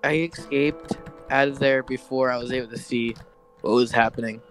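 A man's voice: medium pitch, level tone, fairly quick, fairly bright tone, faint music behind, lightly acted. A man playing a shaken, subdued survivor in an improvised Western game roleplay, hesitant and repeating words. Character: shaken, subdued male survivor of a bandit raid.

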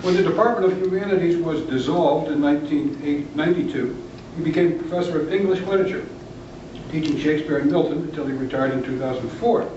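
A man's voice: on the high side, fairly quick, dark tone. Older man introducing a speaker, far off. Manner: light, joking asides. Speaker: introducer, professor emeritus. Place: large lecture room. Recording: roomy, distant, dull, noisy camera audio.

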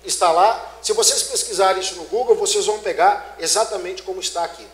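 Man, Brazilian Portuguese accent, law lecture, higher voice, echoey auditorium. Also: fairly quick. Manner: emphatic, rhetorical questions to the audience. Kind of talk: conference lecture. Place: large dark auditorium, on stage.

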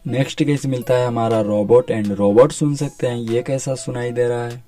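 Even-toned man giving a tech walkthrough. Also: medium pitch, moderate pace, faint music behind. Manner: informal, English words mixed in. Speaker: tech reviewer. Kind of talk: casual phone feature walkthrough.

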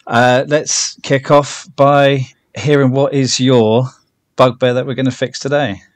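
A man's voice: medium pitch, level tone, measured pace, dry recording. A British man hosting a podcast in a casual, humorous chat. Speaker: podcast host.

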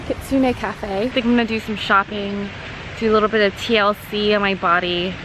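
A woman's voice: medium pitch, background noise. A young woman, congested and tired from a cold, chatting casually to a handheld camera as she walks around a city. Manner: chatty, self-deprecating. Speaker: vlogger. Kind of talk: casual travel vlog. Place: outdoors in city streets and gardens. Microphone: handheld camera at arm's length.

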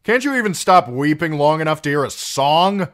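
A man's voice: sits low, slow pace, a bit bright. A narrator voicing a short-tempered, crude male singer who snaps with contempt. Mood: scornful.

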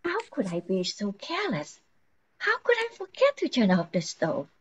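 A woman's voice: mid-range voice, huge pitch swings, normal speed, muffled recording, noisy background. An older woman playing a frantic, forgetful housewife who pleads anxiously, in an amateur radio play. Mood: fretful.

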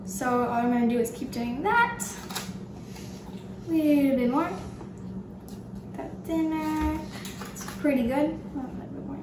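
Bubbly teen girl with a high voice. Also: background noise. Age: teens. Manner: bubbly, chatty, enthusiastic. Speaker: cooking show host. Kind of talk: casual cooking demo. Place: home kitchen, indoors. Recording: echoey kitchen room.

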